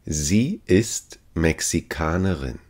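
Very deep, very slow male teacher. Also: expressive, darkish tone. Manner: says each phrase three times, carefully and evenly. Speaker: language teacher. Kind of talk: slow repeated phrase drill.

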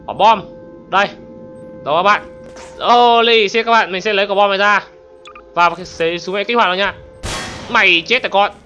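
A man giving excitable gameplay commentary as a channel host, often exclaiming and addressing his viewers. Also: high voice, normal speed, long pauses, highs cut off, soft background music.

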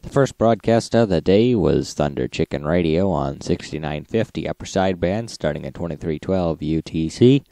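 A man's voice: deep voice, expressive, moderate pace, few pauses, mellow, no room sound. A man hosting an informal radio recap, narrating logs in a matter-of-fact manner.

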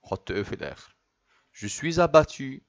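A man with an Egyptian Arabic accent teaching French, repeating each phrase slowly and then explaining it quickly. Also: medium pitch, sing-song, dull audio. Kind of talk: slow repetitive language drill.

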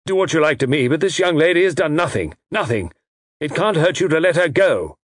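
A man voicing a gallant, protective army major in an audiobook, with a brisk, plucky, plain-spoken manner. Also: fairly low pitch, expressive, breathy edge, no echo, clean studio sound. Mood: defiant.

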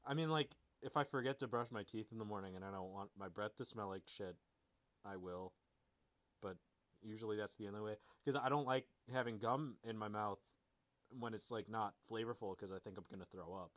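A man's voice: fairly low pitch, a little fast, fairly bright tone. Joking, casual male podcaster. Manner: self-deprecating, joking. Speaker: podcast co-host. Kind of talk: casual banter.

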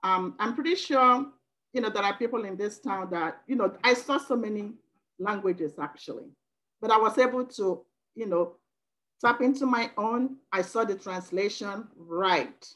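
A woman's voice: mid-range voice, moderate pace, fairly bright tone, poor audio. Nigerian-born woman on a video call. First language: Igbo. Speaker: working group member. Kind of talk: video call meeting comment. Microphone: laptop video call.

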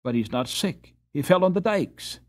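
A man's voice: high voice, expressive, moderate pace, voice pushed up. A man voicing an earnest, proud young boy who pleads in a breathless, fervent way in a storybook.

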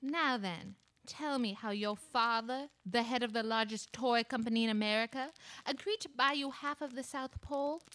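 Woman playing a sly, higher-voiced mistress. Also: moderate pace, a bit bright, lightly acted, rough recording. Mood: needling. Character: sly, teasing mistress of Santa, named Olive. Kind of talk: comic live radio soap parody. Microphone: stand microphone in small radio studio.

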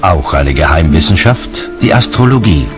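Low-voiced male documentary narrator. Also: animated, moderate pace, over music.

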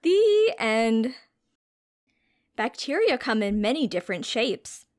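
A woman's voice: a little high, big pitch swings, slow pace, a little mellow. A woman reading a storybook aloud to young children as a teacher, expressively, with simple questions and explanations.

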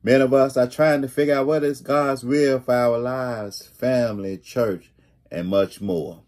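A middle-aged man preaching a sermon as a pastor, deliberately repeating key phrases for emphasis. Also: medium pitch, steady tone, a little mellow. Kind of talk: sermon, read from notes. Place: small home room, indoors.

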